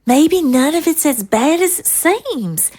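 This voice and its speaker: A woman's voice: high voice, animated, takes it slowly, darkish tone. An actress playing an anxious, polite first lady in an audio drama, earnest and hesitant in her delivery. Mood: worried, earnest.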